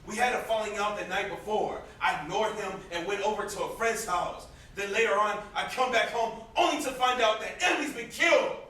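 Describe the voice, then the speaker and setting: A man's voice: high-pitched, fairly quick, few pauses, sharp tone. A young man on an amateur stage playing a bitter, guilt-ridden brother in mourning, with vehement, declamatory delivery. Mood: anguished guilt. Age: young adult. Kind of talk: amateur stage drama acting. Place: indoor stage, recorded from a distance. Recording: very echoey, noisy stage recording from far off.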